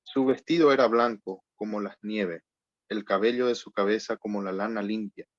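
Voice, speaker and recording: Low-voiced Paraguayan man, muffled audio. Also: dry recording. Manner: plain, unhurried, says "¿verdad?" often. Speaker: guest reading scripture. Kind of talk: casual introduction and scripture reading.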